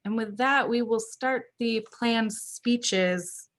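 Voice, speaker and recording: A woman's voice: on the high side, slow pace. A woman hosting an online club meeting, upbeat and organized as she runs through roles and reminders. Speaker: toastmaster of the meeting. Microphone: video call.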